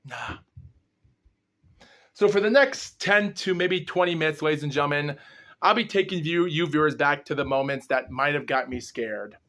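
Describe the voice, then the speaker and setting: A young man with a groggy, slightly ill voice, hosting his own paranormal exploration vlog and rambling in long run-on sentences. He talks without pausing for breath and sometimes stumbles over his words. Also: fairly high pitch, a bit bright. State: groggy and under the weather. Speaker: host of a paranormal exploration series. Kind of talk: rambling solo vlog recap.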